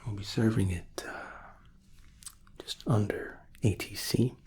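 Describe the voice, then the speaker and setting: A man softly explaining spa treatments in an ASMR roleplay, whispery and breathy, with a gentle, reassuring, attentive manner. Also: on the low side, fairly even intonation, unhurried. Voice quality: whispery, breathy, soft-spoken.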